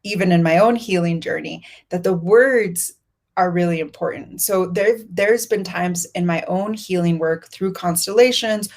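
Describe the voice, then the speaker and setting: A woman's voice: fairly low pitch, unhurried, a little mellow. A woman whose first language is Spanish, a healing facilitator speaking in thoughtful, searching phrases with restarts. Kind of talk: reflective conversation. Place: Mexico.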